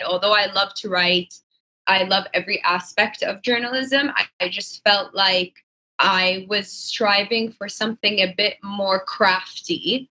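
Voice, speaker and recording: Bright-voiced young woman chatting. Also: medium pitch, rough recording. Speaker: podcast guest, fashion designer. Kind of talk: casual conversational interview answers.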